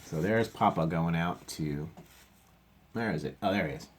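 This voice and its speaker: A man teacher reading a picture book aloud to children, stopping for gentle chatty asides. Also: low-pitched, normal speed, slight background noise.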